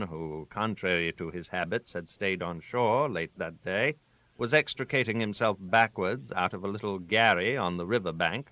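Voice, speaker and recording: A man narrating a literary story aloud, with a theatrical, wry manner and deliberate emphasis on key words. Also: fairly low pitch, bright, forceful tone, no room sound. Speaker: audiobook narrator.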